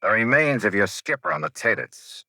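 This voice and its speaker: An actor playing a shrewd, brisk insurance investigator in an American 1950s radio detective drama, clipped and self-assured. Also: male voice, on the low side, big pitch swings, pushed and bright, no room sound, full character voice. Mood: confident, accusing. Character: shrewd, brisk male insurance investigator narrating his case. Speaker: lead actor and narrator.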